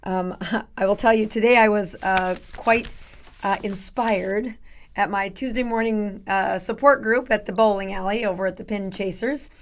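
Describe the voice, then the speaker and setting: A middle-aged woman doctor with a raspy voice that is going hoarse, chatting casually as host of her live stream with many fillers. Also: mid-range voice, steady tone, moderate pace. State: losing her voice. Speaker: doctor hosting a live show.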